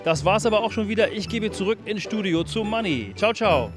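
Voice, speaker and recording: A middle-aged man works as a street reporter. He interviews children in a friendly, encouraging way, outdoors on a city street with music clearly behind him. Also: sits high, lively intonation, fairly quick. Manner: upbeat, encouraging. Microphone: handheld mic with windscreen. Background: music, street and traffic sounds.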